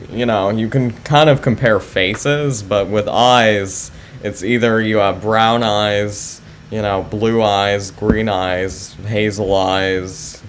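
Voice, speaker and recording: A man with a low voice, chatting casually with viewers as a chess streamer, soft-spoken and hesitant with many fillers. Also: slight background noise. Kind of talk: casual live-stream chat.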